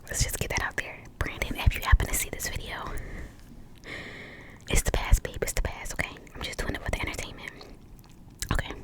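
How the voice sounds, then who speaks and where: An American woman in her twenties telling a casual, chatty ASMR story, slightly short of breath, repeating words softly. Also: brisk, long pauses, rough recording. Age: 20s. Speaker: ASMR video host.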